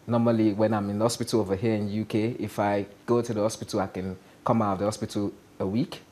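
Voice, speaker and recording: A man's voice: lowish voice, quick pace. A man with a Nigerian accent, a stand-up comedian talking casually as a guest in a TV studio interview. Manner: animated, gestures a lot, asks "you understand?". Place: TV studio set.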